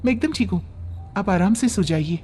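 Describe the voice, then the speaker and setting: A man's voice: high voice, animated, fairly quick, darkish tone, slightly rough, faint music behind, voice pushed up. A man voicing a polite, plaintive young pregnant woman in a storybook tale. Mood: calm, dismissive. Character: soft-spoken young pregnant woman in trouble. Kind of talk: acted storybook dialogue.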